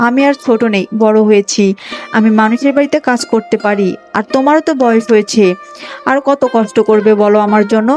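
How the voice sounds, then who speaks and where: A woman's voice: on the high side, brisk, darkish tone, soft background music, natural voice. A woman voicing a poor, dutiful young village daughter in a cartoon story, plaintive, earnest and emotional. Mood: firm, earnest. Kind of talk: Bengali cartoon moral-story voice acting.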